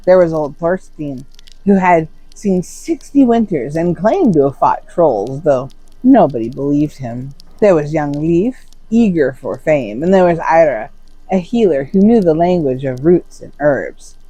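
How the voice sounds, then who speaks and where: A storyteller giving a dramatic scripted narration of a Norse saga, solemn and theatrical, with deliberate pauses for suspense. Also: mid-range voice, big pitch swings, mellow, slightly rough, no room sound, low-quality recording.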